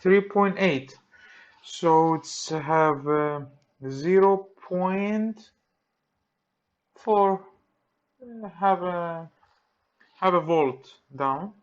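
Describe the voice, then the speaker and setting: A man with a Greek accent, speaking English as a second language, walks through a repair and thinks aloud in halting, simple sentences. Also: high-pitched, highs cut off. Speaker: repair technician. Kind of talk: hands-on repair tutorial. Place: workbench.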